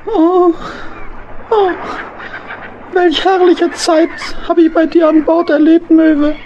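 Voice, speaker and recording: A woman's voice: very high voice, flat delivery, slow pace, dark tone, muffled recording, slight background noise, heavily acted. A woman voicing a small, sad male hedgehog in a children's audio play, plaintive and dramatic. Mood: wistful, sad.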